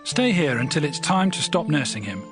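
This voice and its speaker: An actor playing an agreeable, supportive husband in a dramatized scripture reading, speaking in a gentle, reassuring manner. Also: male voice, on the high side, very animated, normal speed, soft background music.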